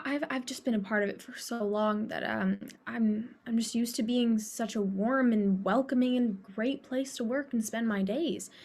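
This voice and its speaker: A woman playing a devoted, grateful long-time school employee in documentary theater, earnest and slightly halting. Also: a little high.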